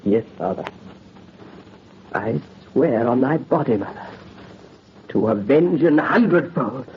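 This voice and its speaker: An actor playing an impassioned young aristocrat son in an old radio melodrama, with archaic theatrical speech. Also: male voice, medium pitch, animated, slow. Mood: grief-stricken vow. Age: young adult. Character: anguished, defiant young French aristocrat son. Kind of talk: old-time radio horror drama acting. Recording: muffled, noisy old radio recording.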